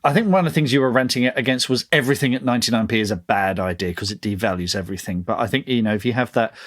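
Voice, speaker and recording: A man's voice: mid-range voice, racing. A man chatting enthusiastically and casually as a podcast co-host.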